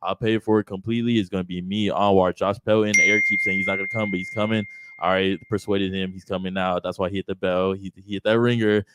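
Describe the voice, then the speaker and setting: A man's voice: low-pitched, little pitch movement, quick pace, heavy background noise. A man co-hosting a casual podcast, blunt and teasing with his guests. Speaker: podcast host. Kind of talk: casual chat.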